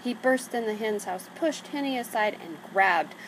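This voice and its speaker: A woman reading a children's story aloud in an expressive narrating style. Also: fairly high pitch, moderate pace, faint music behind. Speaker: storybook reader. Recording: clearly noisy background.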